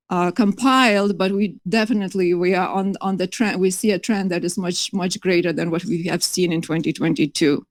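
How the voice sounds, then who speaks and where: A woman's voice: lowish voice, dry recording, studio quality. Earnest woman presenting. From Lithuania. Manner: earnest, many fillers and restarts. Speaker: conference presenter. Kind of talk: academic conference talk. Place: conference hall.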